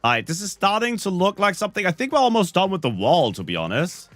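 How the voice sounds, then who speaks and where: A man's voice: sits high, big pitch swings, fast talker, fairly bright tone, dry recording, faint music behind. A man with a Danish accent, joking and rambling to his chat while he plays a game, a little under the weather. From Denmark. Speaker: streamer. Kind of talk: live-stream chat while playing a game.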